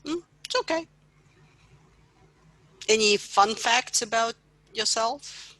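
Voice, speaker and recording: A woman professor leading an online class, greeting students and asking them to introduce themselves in an encouraging, brisk manner. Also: fairly high pitch, lively intonation, bright, forceful tone, no room sound. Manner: upbeat, encouraging, brisk questions. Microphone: computer mic on video call.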